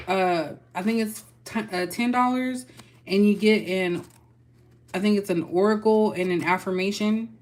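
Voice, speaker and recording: A woman's voice: medium pitch, a little hiss or hum. A young woman with a Texas accent giving a casual, chatty tarot reading and talking loosely to her viewers. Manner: chatty, loose, folksy with y'all. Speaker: tarot reader.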